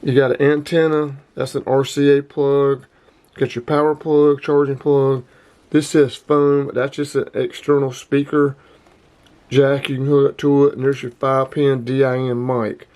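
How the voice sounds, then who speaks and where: Male hobbyist host, informal and plain-spoken, medium pitch, talking through a casual gear review. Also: little pitch movement, moderate pace, a little mellow. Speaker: host of a radio hobby channel.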